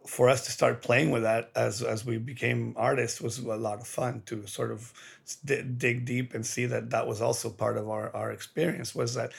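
A man's voice: mid-range voice, vocal fry, slightly rough. A middle-aged man from Mexico, an artist speaking earnestly in a documentary interview, explaining with fillers and restarts.

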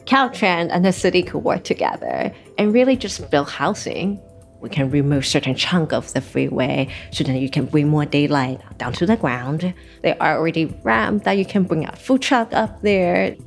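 A woman urban planner from Taiwan, speaking casually and thoughtfully in an interview, with some halting restarts. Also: low voice, expressive, creaky, faint music behind.

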